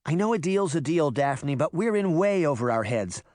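Big-sister girl, done by a man, raised pitch, worried, bossy, urgent, storybook. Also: very animated, moderate pace, a little mellow, dry recording, studio quality. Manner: urgent, anxious.

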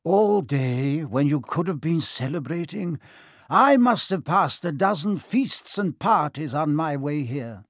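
A man reading as a calm, gently wry old wizard who takes his time over every phrase. His delivery is slow and a touch lighter than the narration, set close and dry in a storybook reading. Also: a little high, dry recording. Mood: gentle. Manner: gentle, unhurried and mildly amused.

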